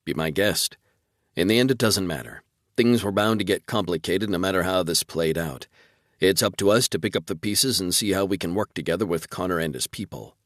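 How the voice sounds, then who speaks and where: A man's voice: deep voice, a little fast, dry recording, studio quality, lightly acted. A man voicing a wry, weary male space fleet officer in a sci-fi audiobook. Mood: measured. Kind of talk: audiobook character dialogue.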